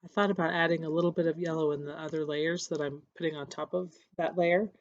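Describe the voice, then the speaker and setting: A painter with a cold, hoarse and scratchy, casually narrating a voiceover of their painting process with halting restarts. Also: medium pitch, steady tone, no echo, muffled recording. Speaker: encaustic painter. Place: voiceover recorded apart from the footage.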